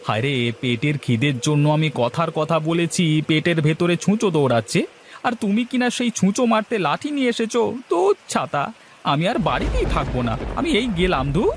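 A man's voice: fairly high pitch, sing-song, normal speed, a little hiss or hum. A man playing an exasperated, hungry village farmer husband who complains dramatically in a comedy cartoon. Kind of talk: Bengali comedy cartoon dialogue.

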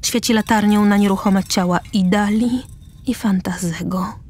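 A woman's voice: medium pitch, steady tone, moderate pace, a little mellow. A woman reading stage directions as the narrator of a scripted radio play.

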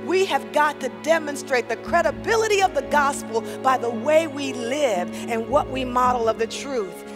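A woman's voice: high voice, lively intonation, moderate pace, over music. A woman delivering a prepared, formal address.

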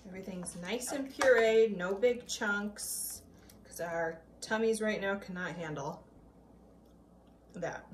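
A young woman talks her viewers through a recipe in an easygoing, chatty way, tasting as she goes. Her voice sits a bit back from the camera in an echoey home kitchen, with clear background noise. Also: medium pitch, slow pace, recorded from a distance. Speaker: cooking vlogger. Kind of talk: casual cooking demo.

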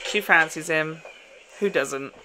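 A man's voice: a little high, takes it slowly, music in the background. A young man giving casual, off-the-cuff reaction commentary in a blunt, sweary manner. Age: young adult. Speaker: reaction video host. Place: small room at home, indoors.

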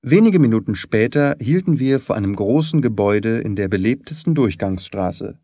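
A male audiobook narrator with a middle-range voice reads in a measured way. His diction is articulate and the recording is dry and close, suited to classic literary storytelling. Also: mid-range voice, animated, dark tone, dry recording.

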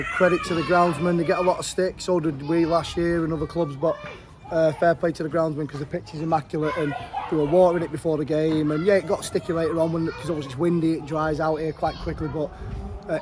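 A middle-aged man, a football manager giving a frank, chatty post-match interview outdoors beside the pitch. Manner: frank, chatty, fast-flowing. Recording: outdoor wind and traffic noise.

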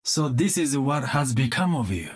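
An actor playing a calm, wise young cyborg ninja in a video game, solemn and measured. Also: male voice, mid-range voice, natural voice.

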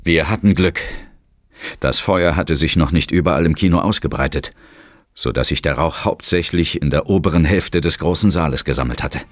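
A man playing a serious, brooding ghost hunter who narrates a horror audio drama gravely and dramatically. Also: rumbling low, normal speed, no room sound, clean studio sound. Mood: somber. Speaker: first-person narrator and hero.

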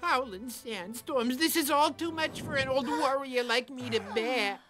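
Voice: sits high, unhurried, over music. An actor voicing a crusty old warrior creature in a cartoon, folksy and grandly exclamatory. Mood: overwhelmed. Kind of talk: animated cartoon voice acting.

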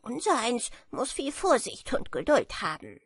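A woman's voice: a little high, huge pitch swings, unhurried, husky, dry recording, clean studio sound, pitched above the performer's own voice, full character voice. A woman reading a story voices a friendly male beetle who comforts his friend with chuckling reassurance. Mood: kindly. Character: kindly male beetle, a cheerful old friend.

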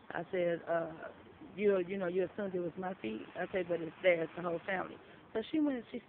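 A woman, a shelter resident, telling a story in casual chat, blunt and profane, acting out the dialogue she quotes, recorded on a phone outdoors. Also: medium pitch. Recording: tinny phone-like audio, faint noise.

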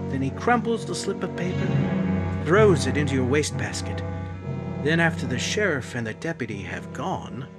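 Man narrating a radio mystery over music. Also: mid-range voice. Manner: measured, insinuating. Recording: loud music under the voice.

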